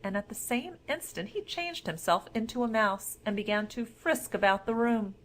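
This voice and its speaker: A woman storyteller narrating a scripted fairy tale in a formal, measured manner. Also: a little high, fairly bright tone.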